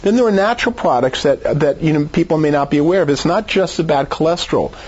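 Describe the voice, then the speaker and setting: A man's voice: fairly high pitch, quick pace, a little mellow, lo-fi sound. A man speaking as a medical expert in an interview, giving conversational advice in an emphatic, persuasive way. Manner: emphatic, persuasive, rhetorical questions. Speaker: doctor, expert guest.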